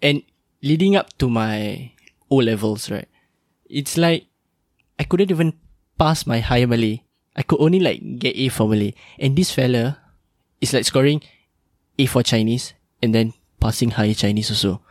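A man's voice: medium pitch, moderate pace, pauses often, a little mellow, no room sound, studio quality. A young man hosting a podcast interview, talking in an upbeat, chatty and emphatic way. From Singapore. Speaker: podcast host. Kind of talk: casual interview chat.

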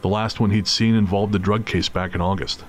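A man's voice: on the low side, fairly even intonation, a little fast, a little hiss or hum. A man narrating a scripted audio drama as storyteller.

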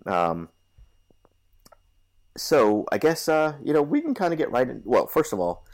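A man's voice: mid-range voice, big pitch swings, a little slow. A nasal man with a cold, hosting a podcast in a loose, rambling chat full of fillers. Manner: rambling, many fillers and restarts. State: stuffy from a cold. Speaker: podcast host. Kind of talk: casual chat.

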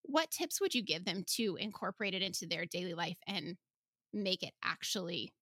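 A woman's voice: lowish voice, moderate pace, a bit bright, breathy edge, no echo, clean studio sound. A woman hosting a podcast interview in a casual, informal way, often checking in with "right?". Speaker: podcast host.

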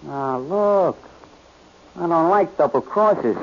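Menacing hired gun, 1940s radio crime show: greedy, tough talk, somewhat high voice, dull recording. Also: male voice, lively intonation, takes it slowly, full character voice. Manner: tough, threatening. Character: menacing, greedy male gangster henchman with gun. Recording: muffled, noisy old radio recording.

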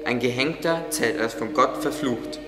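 A man portrays a calm, caring gardener for a radio play. His medium-pitched voice is solemn and patiently reassuring. Music plays beneath it and it rings with echo. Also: moderate pace, close to an everyday voice. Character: calm, caring male gardener, gently guiding. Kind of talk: German religious audio drama acting. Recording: echoey hall with music underneath.

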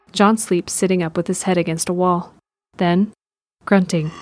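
A woman's voice: fairly low pitch, slight background noise. A woman reading scripted present-tense narration for an audio drama.

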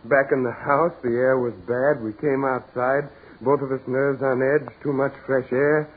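A man's voice: mid-range voice, flat delivery, moderate pace, natural voice. Actor as agitated proud man, tinny vintage radio. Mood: forced reassurance. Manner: agitated, repetitive, theatrical insistence. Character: proud, practical businessman fighting off mounting dread. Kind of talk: 1940s radio horror acting. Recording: scratchy, tinny 1940s radio recording with noise.

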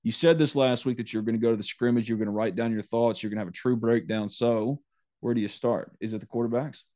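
A man hosting a casual sports podcast, teasing his co-host in a lively, animated way. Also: fast talker. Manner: teasing, animated, jumps high when mocking.

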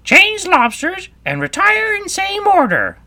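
A man voicing an excitable, bossy mythical beast in a storybook, shrill and often yelling. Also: unhurried, full character voice. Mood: excited, bossy. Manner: excitable, interrupting. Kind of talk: storybook character voice.

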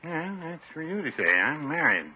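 An actor playing a mild, homely shopkeeper in a 1950s radio comedy, folksy and drily teasing. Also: male voice, on the high side, big pitch swings, moderate pace. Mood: homely, wry. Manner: folksy, dry, understated. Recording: noisy, muffled 1950s radio recording.

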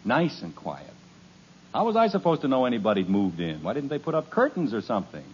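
A man's voice: mid-range voice, huge pitch swings, a little fast, husky, full character voice. An actor playing an exasperated middle-aged family father in a 1950s radio sitcom, grumbling and indignant. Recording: dull lo-fi 1950s radio recording.

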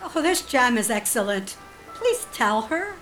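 A guarded middle-aged friend in an audio drama, polite and hesitant. Her voice is high and swoops expressively, and she takes her words slowly. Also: very animated, fairly bright tone, faint music behind, heavily acted. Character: polite, guarded woman hiding an affair from her old friend.

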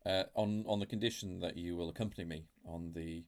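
A man co-hosting a podcast in casual chat, jokey and quick to throw in silly bits. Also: deep voice, fairly even intonation, crowd noise. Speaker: podcast co-host.

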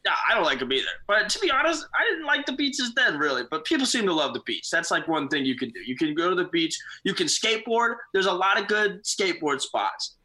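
A young man from the United States, a guest in a casual chat, joking with deadpan sarcasm and laughing at himself. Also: sits high, fairly quick, hardly any pauses, rough recording.

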